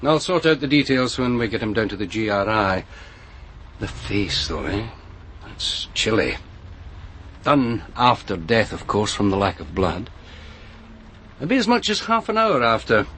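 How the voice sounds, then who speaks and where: Measured, wry police doctor. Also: male voice, lowish voice, big pitch swings, a bit bright, a little hiss or hum. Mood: grim. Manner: deliberate, musing, dryly wry. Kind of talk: radio crime drama acting.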